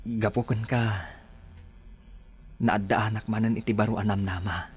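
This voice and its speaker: A man's voice: fairly low pitch, fairly even intonation, normal speed, husky. An actor playing an earnest, polite young man in love, courting in a radio romance drama. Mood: earnest confession.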